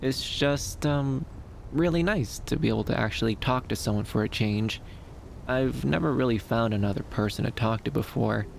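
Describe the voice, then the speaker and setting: A man playing a gentle, shy, dreamy young femboy in an ASMR roleplay, soft-spoken and hesitant, soothing, with lilting upturns. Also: medium pitch, normal speed, gravelly, a little hiss or hum, natural voice. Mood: shy, apologetic.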